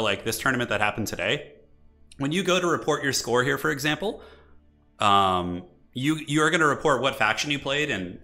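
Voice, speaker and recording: A man live-streaming a strategy game, chatting casually and enthusiastically with viewers in a slightly raspy voice from an irritated throat. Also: mid-range voice, bright, forceful tone. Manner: enthusiastic, informal, thinking aloud. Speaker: livestreamer, esports website organizer.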